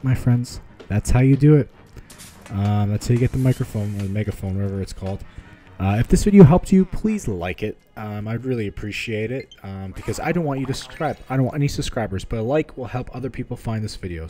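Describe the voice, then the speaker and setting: A man's voice: mid-range voice, lively intonation, fast, warm tone. A man giving a casual video game walkthrough in an informal, slightly hesitant, conversational way. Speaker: video game guide host. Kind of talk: casual gameplay walkthrough commentary.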